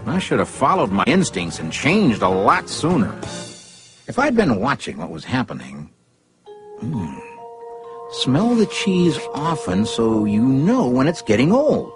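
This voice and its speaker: A man voicing an upbeat, adventurous little cartoon character, encouraging and expressive, with music behind him. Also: mid-range voice, unhurried. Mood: reflective, hopeful.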